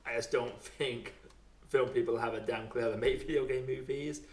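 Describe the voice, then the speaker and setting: A man's voice: medium pitch, steady tone, normal speed. A man hosting a podcast with casual chat about films. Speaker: podcast host. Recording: roomy, echoey sound.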